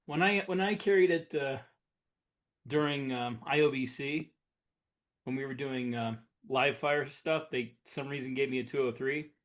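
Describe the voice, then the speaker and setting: A man co-hosting a podcast, talking casually and conversationally with halting, searching phrasing. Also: mid-range voice. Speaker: podcast co-host, science fiction author.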